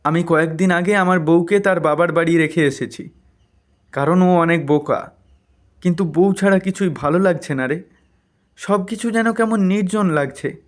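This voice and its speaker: A man's voice: high voice, moderate pace, voice pushed up, close to an everyday voice. A man voicing an exasperated young village husband in a cartoon story, emphatic and complaining. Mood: glum.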